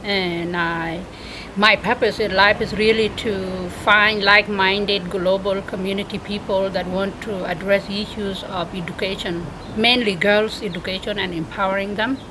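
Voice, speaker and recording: A woman's voice: mid-range voice, fairly even intonation, normal speed. South Sudanese-accented woman over background noise. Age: 30s. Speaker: interview guest, author. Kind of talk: earnest personal account in an interview.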